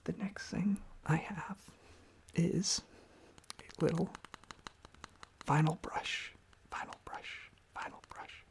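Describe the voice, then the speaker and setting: Someone rambling softly in a breathy, husky ASMR whisper close to the microphone, casually repeating little phrases. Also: mid-range voice, steady tone, slow, long pauses. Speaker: ASMR video creator.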